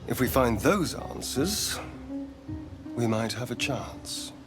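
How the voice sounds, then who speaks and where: Casting: a suave, furtive male vampire for a game; low, very animated pitch, theatrical, halting delivery. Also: music in the background. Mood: grave, suspicious. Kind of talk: dramatic video game dialogue.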